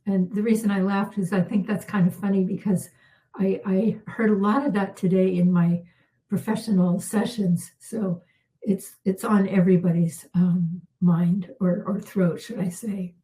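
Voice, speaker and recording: A middle-aged woman, a healer, leading a calm guided spiritual healing with unhurried talk and soft pauses, her voice slightly worn. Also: mid-range voice, poor audio. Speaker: intuitive healer hosting a live session.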